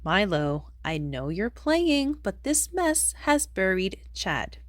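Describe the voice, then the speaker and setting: A woman voicing a caring, patient but firm mother alligator from a children's storybook, in a measured motherly manner. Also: mid-range voice, sing-song, dry recording, studio quality. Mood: exasperated.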